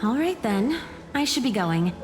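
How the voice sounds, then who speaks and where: A woman's voice: on the high side, sing-song, unhurried. A woman playing a kindly, devoted village schoolteacher in a fantasy video game. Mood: kindly, gentle. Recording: clear music behind.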